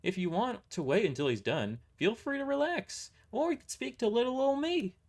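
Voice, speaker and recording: Man voicing a chatty old receptionist woman, high folksy twang. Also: expressive, normal speed, a little mellow, heavily acted. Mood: chatty, eager. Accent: rural twang. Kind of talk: amateur audio drama character voice.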